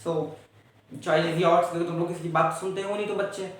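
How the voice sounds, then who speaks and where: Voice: mid-range voice, flat delivery, brisk, far from the mic, natural voice. A performer playing a tired male schoolteacher who rants in exasperated Hindi-English at his class. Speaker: teacher in a classroom skit. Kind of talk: comedy sketch acting. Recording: echoey room, voice off-mic.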